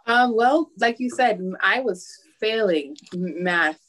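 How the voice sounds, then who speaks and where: A woman's voice: mid-range voice, animated, a little slow, a bit bright. A woman from Harlem, New York, who teaches math, answering interview questions candidly, with hesitant fillers.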